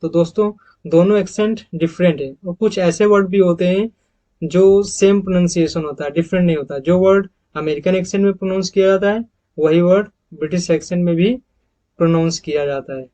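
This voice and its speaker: A man's voice: sits high, brisk, warm tone. A man with an Indian accent teaching English phonetics over slides, repeating each model word several times and calling his viewers friends. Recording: dull lo-fi recording.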